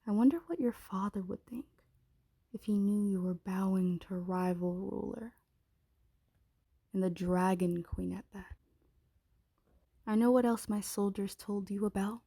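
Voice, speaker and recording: A woman playing a menacing, possessive dragon shifter queen in an ASMR roleplay, commanding and often slipping into breathy whispers. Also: mid-range voice, fairly even intonation, measured pace, pauses often, warm tone, no room sound. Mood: taunting, threatening.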